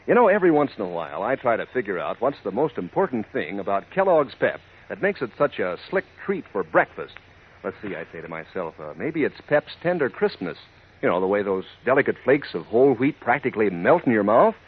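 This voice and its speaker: Husky, animated 1940s radio pitchman. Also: a little fast. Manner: chummy, addresses listeners as pals. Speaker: commercial announcer. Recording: thin, phone-like 1940s radio recording.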